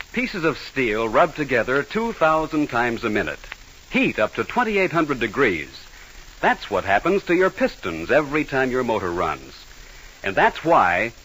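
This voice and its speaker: A man's voice: medium pitch, a bit bright, poor audio. An American man working as a 1940s radio announcer, giving commercials and sign-offs in a polished, emphatic sales delivery. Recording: vintage 1940s radio broadcast.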